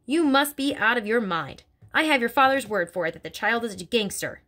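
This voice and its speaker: A woman's voice: fairly high pitch, lively intonation, a little fast, bright, forceful tone, voice pushed up. A woman reading aloud voices a bullying, hulking headmistress who barks, snorts and speaks with open contempt. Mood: bellowing scorn.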